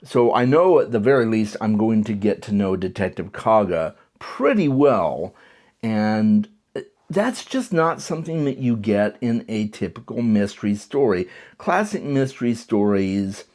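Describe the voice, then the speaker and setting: Man, slightly low voice, casual book review, enthusiastic, conversational. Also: very animated, unhurried, a little mellow. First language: English. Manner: enthusiastic, conversational, with frequent restarts.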